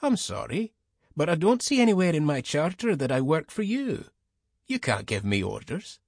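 A sly Scottish military villain acted out by a man narrating, middle-aged and smug, often lifting higher in pitch. He is mockingly polite, as befits a cool audiobook antagonist, and sounds close and dry. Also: sing-song, normal speed, vocal fry, no room sound, heavily acted. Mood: mocking, dismissive.

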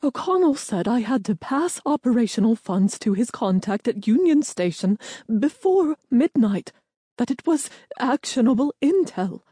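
A woman's voice: on the high side, moderate pace, hardly any pauses, warm tone, no echo, clean studio sound, lightly acted. A woman playing an earnest young female intelligence clerk, anxious but determined, with an urgent, pleading manner. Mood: urgent, defensive. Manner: pleading, self-justifying, urgent.

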